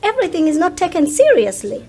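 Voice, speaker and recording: A woman's voice: extremely high pitch, wildly swooping pitch, fast, dark tone. A woman with a Ugandan accent speaking casually as an interview guest, explaining things by acting out what others say. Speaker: interview guest, social media content creator.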